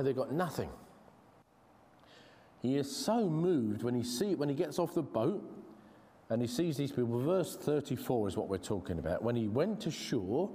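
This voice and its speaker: English preacher in a reverberant hall. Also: male voice, medium pitch, dark tone. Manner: earnest, conversational, with frequent restarts and slow emphasis. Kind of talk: sermon. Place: church.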